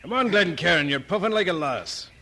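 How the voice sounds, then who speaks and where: Fiery Scottish preacher, proud and stern. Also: male voice, sits high, lively intonation, moderate pace, bright, forceful tone, heavily acted. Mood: teasing. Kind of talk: radio drama acting.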